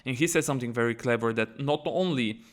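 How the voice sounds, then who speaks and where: A man's voice: medium pitch, fast talker. A man co-hosting a podcast, chatty and easygoing, moving the conversation from topic to topic. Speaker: podcast co-host.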